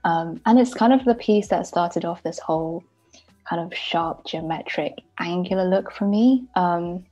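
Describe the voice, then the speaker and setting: A woman's voice: on the low side, a little slow, mellow, dull audio. A young woman from Taiwan, an illustrator chatting as a guest over a video call from home, often giggling. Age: young adult. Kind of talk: casual interview chat.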